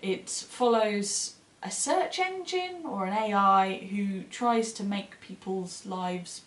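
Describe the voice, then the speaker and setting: A woman reviews books in a relaxed, conversational style with open enthusiasm. Her voice sits at an average pitch. The recording is roomy, with noticeable echo. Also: slow pace. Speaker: book reviewer co-hosting short fiction recommendations. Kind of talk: casual enthusiastic book chat.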